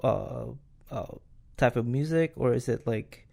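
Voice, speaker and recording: A man hosting a podcast interview, asking questions in a halting way with many fillers and restarts. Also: mid-range voice, creaky, warm tone. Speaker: podcast host. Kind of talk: casual interview chat.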